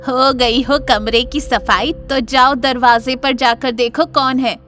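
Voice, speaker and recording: Shrill, scolding, bossy mother. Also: fairly even intonation, slow, soft background music. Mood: irritated, scolding. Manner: nagging, sarcastic, shouting. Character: Geeta, sharp-tongued bossy scolding mother. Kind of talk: audio drama acting.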